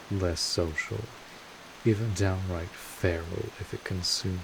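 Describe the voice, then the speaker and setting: Man playing a low, slow-spoken vampire mentor. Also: vocal fry, full character voice. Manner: measured, deliberate, wry. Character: gruff, world-weary male vampire mentor, 150 years old, secretly kind. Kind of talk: scripted audio roleplay monologue. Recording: steady hiss, rain-like noise.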